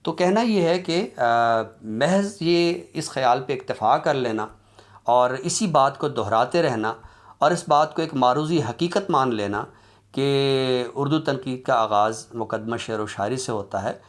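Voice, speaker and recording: A man giving an academic lecture in a deliberate, explanatory way, with halting pauses. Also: medium pitch. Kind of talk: academic lecture on literary criticism.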